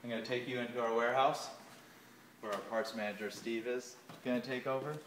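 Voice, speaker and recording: A man's voice: medium pitch, steady tone, brisk, fairly bright tone. A man in his thirties walks through a large indoor parts warehouse, filming on a handheld phone and listing items as he goes. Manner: lists items while walking, filming handheld. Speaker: shop tour guide. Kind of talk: casual walking shop tour. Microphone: handheld phone camera. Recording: echoey warehouse, faint hum.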